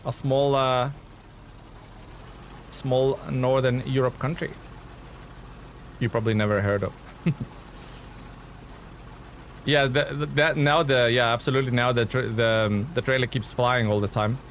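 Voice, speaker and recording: A man's voice: medium pitch, unhurried, pauses often, a little hiss or hum. Chatty streamer man. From Lithuania. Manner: relaxed, chatty, many fillers and restarts. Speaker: game streamer. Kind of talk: casual live-stream chat reading viewer comments.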